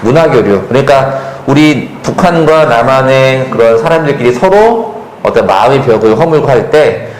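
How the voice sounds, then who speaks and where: A man's voice: medium pitch, normal speed, hardly any pauses. Echoey man moderating a panel talk. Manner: relaxed, jokey, lots of fillers and affirming hums. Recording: echoey hall with faint noise.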